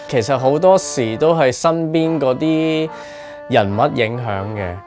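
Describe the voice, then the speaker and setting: A man's voice: medium pitch, expressive, a little slow, a little mellow. Hong Kong Cantonese man, casual interview, music behind. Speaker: radio drama writer and performer being interviewed. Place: small radio studio.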